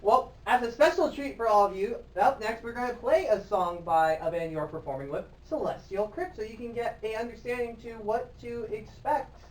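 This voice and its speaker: A man's voice: high-pitched, normal speed, hardly any pauses, far from the mic, poor audio. A man hosting a live college radio show in the studio, interviewing a band in a playful, flustered, laughing way.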